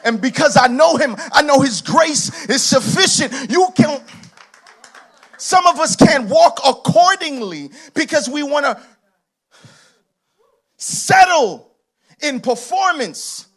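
A man with a strikingly high voice delivers a church sermon. He drives his points home with emphatic, stacked repetitions that rise to shouts, then loosens up with laughing, conversational asides. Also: expressive, slow pace, long pauses. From Haitian heritage. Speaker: preacher.

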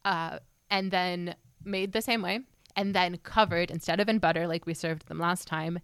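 Young woman, lowish voice, casual podcast chat, opinionated explainer who corrects others, dry recording. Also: fairly even intonation, moderate pace, a bit bright, clean tone, no echo. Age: young adult.

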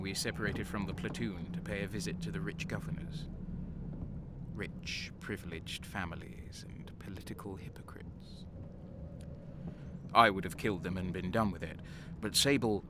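An actor playing a bitter, violent male renegade guardsman, narrating slowly in the first person. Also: sits low, heavy background noise, natural voice. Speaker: narrator and lead. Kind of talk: audio drama narration. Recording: music underneath.

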